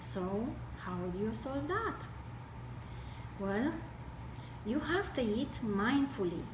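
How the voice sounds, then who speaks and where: A woman with a Romanian accent explains health matters in a fairly high voice. Her phrasing is deliberate and broken into short pieces. She sounds some way from the mic in an echoey room. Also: sing-song. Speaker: health speaker with medical training. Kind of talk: explanatory health talk to camera. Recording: distant mic, echoey room, noisy background.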